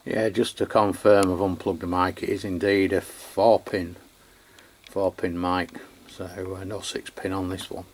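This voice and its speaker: A man's voice: low voice, little pitch movement, unhurried. A man giving a casual, unscripted hands-on review of equipment in a matter-of-fact way. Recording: clear background noise.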